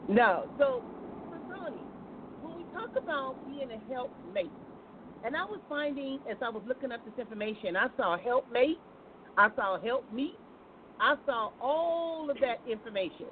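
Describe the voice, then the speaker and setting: A woman's voice: high-pitched, sing-song, moderate pace, background noise. A woman with an American accent hosting a casual, chatty live talk show, asking questions in an informal, folksy, conversational way. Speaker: talk show host taking calls. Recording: thin phone-like audio.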